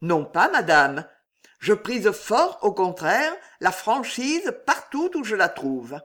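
A woman reading aloud and voicing a king, a grown man who is calm, gallant and courtly. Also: mid-range voice, takes it slowly, heavily acted.

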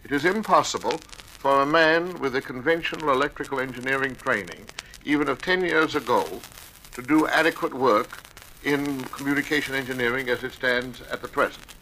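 A man's voice: medium pitch, moderate pace. A man making a formal academic statement, precise and emphatic, on an archival recording. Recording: noisy, sharp archival recording.

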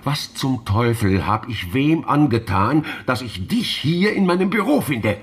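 An actor playing a bitter, threatening veteran police inspector in a noir radio play, with a gruffly dismissive way of talking. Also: male voice, mid-range voice, big pitch swings, unhurried, a little hiss or hum. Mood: dry, sour. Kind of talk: noir radio drama acting.

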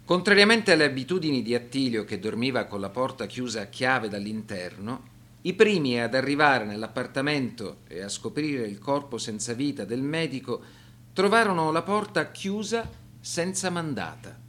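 A man reading scripted radio documentary narration in a measured, serious, formal manner. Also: mid-range voice, lively intonation, fairly bright tone.

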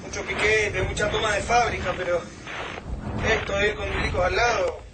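A man's voice: high-pitched, normal speed, heavy background noise, natural voice. A man playing a cocky, slangy working-class Argentine militia fighter in a radio drama. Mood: gruff, wry. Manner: brash, slangy, excitable. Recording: distant, loud music and battle crowd.

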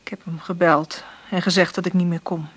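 An actress in a Dutch radio play playing a weary, struggling mother, husky and intimate. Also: on the low side, fairly even intonation, a little fast. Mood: weary, confiding. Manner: intimate murmured monologue, gentle with her child. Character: weary, struggling mother confiding in her diary.

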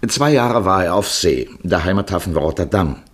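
A man narrates a literary radio play in a very low voice. The tone is husky, and he sets out each phrase in a measured, declarative way. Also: lively intonation.

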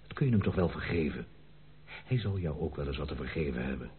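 A man's voice: rumbling low, expressive, a little fast, slightly rough, heavily acted. An actor playing a blunt, fatherly circus man in a Dutch radio play, scolding and coaxing as he talks. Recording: muffled, narrow-band old radio recording.

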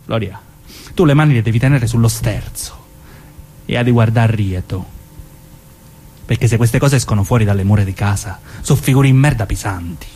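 A man's voice: on the low side, moderate pace, pauses often, dark tone, slight background noise, close to an everyday voice. An actor playing a hardened, threatening mafia man with a southern Italian accent, stubborn and self-justifying, in a stage drama. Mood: menacing, self-justifying. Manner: menacing, swearing, cajoling. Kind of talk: dialect stage drama acting.

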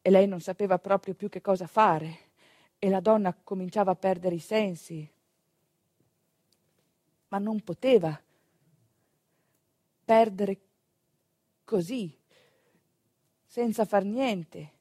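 A female village storyteller, delivering a theatrical monologue. She is emphatic and dramatic, leaning on pauses and repetition. The sound is dry and close, and her voice sits at an average pitch for a woman. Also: mellow, husky, dry recording. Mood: grave, hushed. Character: female storyteller recalling a rural midwife's work. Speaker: stage actress narrating a monologue.

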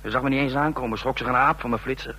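An actor playing a breezy, cocky photographer and informant, chatting colloquially in a radio drama. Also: male voice, medium pitch, steady tone, racing, pushed and bright. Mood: breezy, smug. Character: breezy, slangy male photographer working as informant. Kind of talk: radio drama acting, phone report. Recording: faintly noisy old radio recording.

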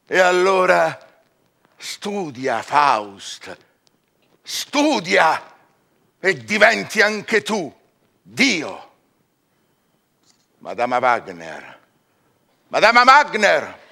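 Power-hungry proud scholar, slow grand stage delivery, bright forceful voice. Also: male voice, a little high, very animated, creaky, full character voice. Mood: commanding. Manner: grandiose, declamatory, swinging from murmurs to swells. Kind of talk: theatrical verse radio drama.

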